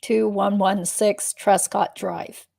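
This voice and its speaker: A woman reading the part of a guarded witness in a deposition, giving terse, measured answers. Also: mid-range voice, steady tone, gravelly, darkish tone, exaggerated voice. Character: guarded adult female witness being deposed. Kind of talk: dramatic reading of a legal deposition transcript.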